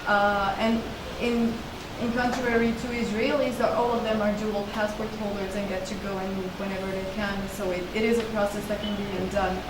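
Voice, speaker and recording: A woman's voice: medium pitch, little pitch movement, moderate pace, hardly any pauses. A woman in her thirties, a lecturer answering audience questions in an earnest, explanatory way with many restarts. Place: seminar room with a panel table. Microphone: table mic on a stand. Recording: echoey room, distant and noisy.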